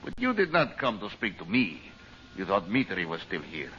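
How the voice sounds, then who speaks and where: Big sneering radio villain out to rule the world, swoops high and low, bright edgy voice, dull hissy old broadcast. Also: male voice, wildly swooping pitch, normal speed, exaggerated voice. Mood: gloating. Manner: grandiose, sneering, theatrical. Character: megalomaniac male villain bent on ruling the world. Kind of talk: 1940s radio acting.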